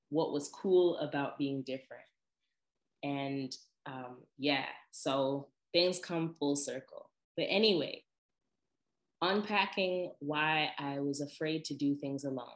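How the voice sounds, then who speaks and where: A young woman with a deep voice, chatting casually and telling personal stories to her viewers, with frequent laughs and lively asides. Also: echoey room. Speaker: vlogger. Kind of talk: casual personal storytelling to camera.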